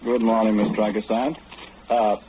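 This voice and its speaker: An actor in an old-time radio play, playing a formal, fussy gentleman who calmly knows everything and speaks with matter-of-fact precision. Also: on the low side, normal speed, natural voice. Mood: polite, composed. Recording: dull, noisy old radio recording.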